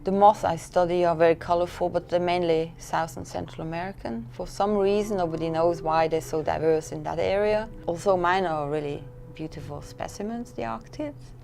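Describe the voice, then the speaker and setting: Low gravelly woman from Switzerland. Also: moderate pace, hardly any pauses, a little mellow, slight background noise. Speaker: museum entomology collections manager. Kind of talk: documentary interview.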